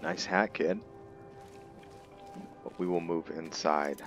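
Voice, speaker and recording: A man's voice: low-pitched, animated, takes it slowly, music in the background. A man hosting a gaming roleplay video, narrating his playthrough in a casual commentary style.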